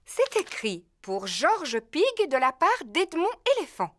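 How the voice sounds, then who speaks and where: High, lively mother pig. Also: unhurried, slight background noise. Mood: gentle. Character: gentle adult mother pig. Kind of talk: French cartoon dub acting. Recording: music behind the voice.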